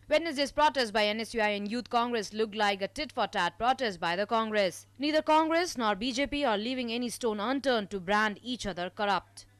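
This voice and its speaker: A woman's voice: a little high, a bit bright, no room sound. A woman with an Indian accent reading a scripted news report as a voice-over.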